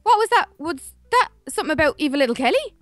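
A woman playing a curious, excitable little girl in an improvised video game roleplay, girlish and eager. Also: high-pitched, fast, no room sound, faint music behind.

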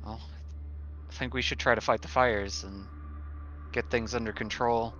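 A man's voice: medium pitch, fairly even intonation, normal speed, sharp tone, slight background noise. A man playing a tabletop roleplaying game, talking casually and hesitantly as he thinks aloud, on a muffled recording. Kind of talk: casual in-character game chat.

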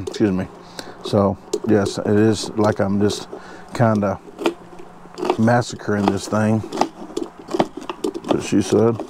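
Low-voiced man cooking outdoors. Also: lively intonation, very slow, pauses often, heavy background noise. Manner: joking asides, rambling fillers. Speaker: outdoors vlogger. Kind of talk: casual camp cooking chat. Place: outdoors at a campsite.

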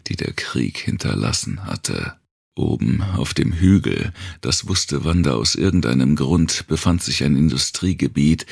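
A man narrating a dark thriller audiobook in a gravelly, husky voice, measured and grave. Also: extremely low pitch, no room sound, clean studio sound.

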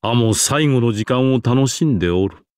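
A man's voice: medium pitch, lively intonation, moderate pace, a little mellow, dry recording, close to an everyday voice. Man voicing a stately, genial old king. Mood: approving, genial. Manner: stately, archaic, self-satisfied. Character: grand old bearded king, genial and proud. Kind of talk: Japanese game character voice acting.

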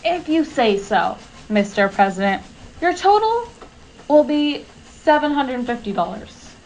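An actress playing a sarcastic, mocking server on a train diner car in a comedic radio play. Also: female voice, high-pitched, very animated, measured pace, darkish tone, slight background noise, rough recording.

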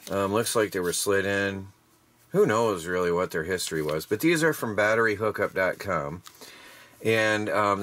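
A man's voice: fairly low pitch, a bit bright. A man casually narrating a walk-through of his homestead yard and workshop, rambling and plain-spoken, tired after a day of hard work, recorded on the camera mic. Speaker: homestead DIY vlogger. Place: outdoors in a yard, then a workshop.

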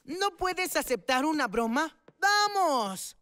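A woman voicing a mischievous, excitable young boy in a Latin American Spanish cartoon dub. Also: high-pitched, slow, no echo, studio quality, full character voice. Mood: teasing. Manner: cheeky, eager, exclamatory.

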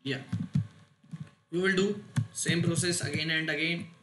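Guy doing a screen tutorial, kinda high voice, choppy non-native phrasing, lots of background noise. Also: fairly even intonation, measured pace. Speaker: tutorial instructor. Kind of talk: step-by-step software screen tutorial. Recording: roomy echo, loud noise, clicks.

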